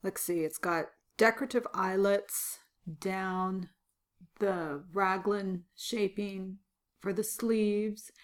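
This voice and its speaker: A woman co-hosting a casual podcast chat, her voice a little scratchy, talking through details and chuckling now and then. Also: medium pitch. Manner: relaxed, chuckling, describes details. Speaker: podcast co-host.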